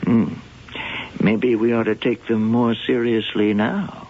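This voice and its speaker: An actor playing a learned museum professor in a radio drama, speaking deliberately with hesitant pauses. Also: male voice, lowish voice, level tone, very noisy. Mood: scholarly, guarded. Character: learned, measured male museum professor, expert on cats. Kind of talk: old-time radio drama acting. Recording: dull old radio drama recording.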